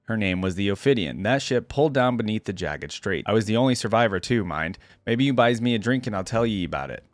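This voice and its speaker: A weathered old harpooner played by a male narrator tells his tale over a drink in a storybook reading. The voice is fairly low and dry, with chatty, rustic pirate-like turns of phrase. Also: animated, fast talker, dry recording, lightly acted. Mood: reminiscing. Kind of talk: dramatic reading of a first-person sea tale.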